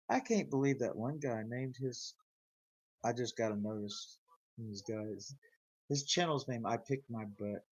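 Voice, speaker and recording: A man's voice: mid-range voice, expressive, slow, long pauses, a little mellow, slightly rough, no echo, dull audio. A man with a slight Arkansas twang, rambling and chuckling as he chats with viewers of his live stream. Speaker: YouTuber chatting with live viewers. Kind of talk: casual live-stream chat.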